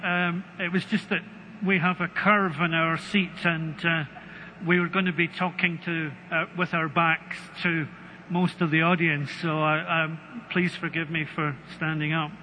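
A Scottish man speaking on a conference panel, halting with many ums and a dry wit. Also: on the high side, flat delivery, sharp tone. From Scotland. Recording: long hall reverb, faint noise.

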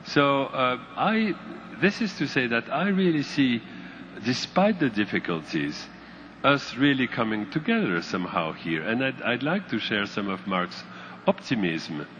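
A man giving formal, measured remarks on a conference panel. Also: medium pitch, very animated, fairly bright tone. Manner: measured, formal, occasional ums. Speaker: panel speaker. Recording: dull muffled sound, faint noise.

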